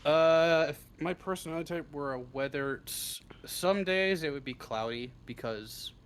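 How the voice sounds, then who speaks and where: A man's voice: fairly high pitch, a little slow, smooth. A man chatting casually as an interview guest, laid-back and given to swearing. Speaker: interview guest, script writer. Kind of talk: casual chat, answering interview questions.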